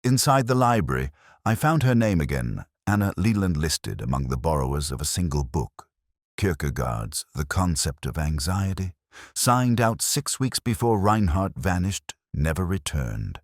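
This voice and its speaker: Man, extremely low voice, lively intonation, dry close sound, measured reflective mystery narration. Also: no echo. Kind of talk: scripted first-person mystery narration.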